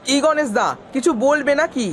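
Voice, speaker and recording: A man voicing a mild but stubborn, honest village farmer in an animated moral tale. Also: very high voice, moderate pace, some chatter behind, slight background noise. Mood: modest.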